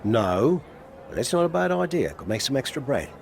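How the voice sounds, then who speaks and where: A man's voice: medium pitch, very animated, normal speed, vocal fry, a faint crowd, slight background noise. A jovial British wrestling coach in a game cutscene. His voice swings freely up and down, chummy and breezily boastful. Some outdoor ambience sits faintly behind him. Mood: breezy, challenging. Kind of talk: video game cutscene acting.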